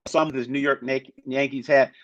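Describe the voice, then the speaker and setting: A man's voice: mid-range voice, fairly even intonation, fairly quick, fairly bright tone, no echo. Rambling male panelist, muffled sound. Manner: rambling, many restarts and hedges. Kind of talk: casual chat.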